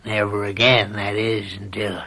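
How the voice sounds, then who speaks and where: An actor playing a leering, domineering older farm uncle in a radio drama, with a folksy, mock-friendly needling manner. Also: male voice, fairly low pitch, little pitch movement, unhurried, gravelly, bright, forceful tone, full character voice. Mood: leering, sly.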